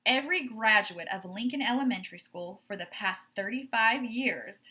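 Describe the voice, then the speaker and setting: A woman reading a children's book aloud like a teacher, in expressive, measured storytelling with stressed phrases. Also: medium pitch.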